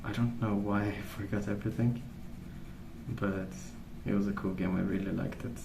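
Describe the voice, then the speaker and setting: Man, soft-spoken ASMR, flat intonation, meandering and unhurried, roomy sound, noticeable background noise with pencil colouring. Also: fairly low pitch, creaky. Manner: hesitant, meandering, unhurried recollection. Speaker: ASMR video host. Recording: roomy, distant mic, noisy background.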